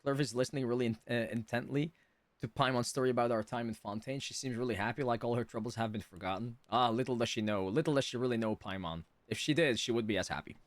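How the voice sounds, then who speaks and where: A man's voice: medium pitch, fast, no room sound. A man giving casual live commentary as a game streamer. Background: game music.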